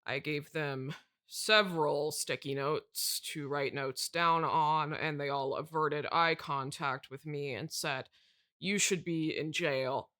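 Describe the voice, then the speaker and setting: A man playing a self-important, prickly female horror novelist in a comedy podcast, curt, haughty and deadpan. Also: fairly high pitch, takes it slowly. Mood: deadpan, ominous. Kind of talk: improvised comedy podcast character.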